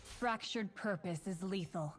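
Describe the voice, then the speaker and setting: An actress playing a stern, righteous warrior of light in a video game, solemn and commanding. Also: female voice, mid-range voice, measured pace, faint music behind, natural voice. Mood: cold, triumphant. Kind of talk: scripted video game voice lines.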